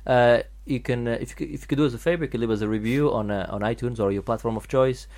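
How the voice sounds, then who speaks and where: A man's voice: mid-range voice, fast talker, a little mellow. A man hosting a casual podcast, speaking with a strong foreign accent and rambling with many restarts and fillers. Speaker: podcast host.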